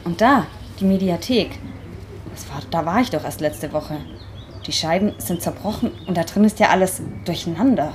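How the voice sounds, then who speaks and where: A woman's voice: lowish voice, moderate pace, natural voice. A woman playing a confused, insistent young woman in an amateur radio play, with some noise on the recording. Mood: puzzled, annoyed.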